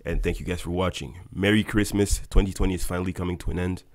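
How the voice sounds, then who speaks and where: A man's voice: sits low, steady tone, fast talker. A young man, an airline pilot, narrating a scripted voice-over in an upbeat, explanatory way to his viewers. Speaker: airline pilot and video host. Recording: voice-over with loud background music.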